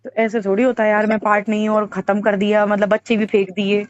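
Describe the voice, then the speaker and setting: A woman's voice: medium pitch, little pitch movement, quick pace, dry recording. A woman in an emotional, casual phone conversation, aggrieved and insistent, often repeating her points. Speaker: caller in a private phone conversation. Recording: dull lo-fi phone call recording, music behind.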